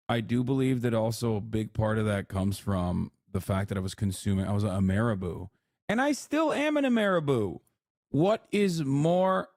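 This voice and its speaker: A man from Turkey speaks with an American English accent in a blunt, animated live-stream chat. Filler words and casual swearing run through his talk. The sound is close and dry, and his voice sits at a middle pitch for a man. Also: no echo. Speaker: livestream host.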